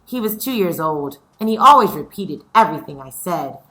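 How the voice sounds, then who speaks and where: A woman teacher addressing young pupils in a read-aloud lesson, instructive, asking questions with pauses and reading stories expressively. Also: on the low side, animated, bright, forceful tone, poor audio.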